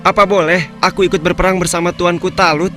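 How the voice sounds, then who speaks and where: A man's voice: sits high, flat delivery, fast talker, bright, forceful tone, soft background music. A man voicing a brave, earnest young boy in an Islamic cartoon. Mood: earnest pleading. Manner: earnest, pleading.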